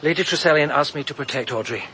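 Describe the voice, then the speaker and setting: Urgent earnest protector, higher voice, faint ambience. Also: male voice, fast talker, sharp tone, a little hiss or hum. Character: earnest protective man, determined to help. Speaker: McWhirter, amateur investigator. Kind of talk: BBC radio drama acting.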